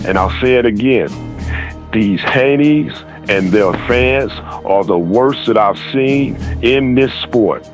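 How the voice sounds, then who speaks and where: A man giving opinionated boxing commentary, emphatic and repeating his points. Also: medium pitch.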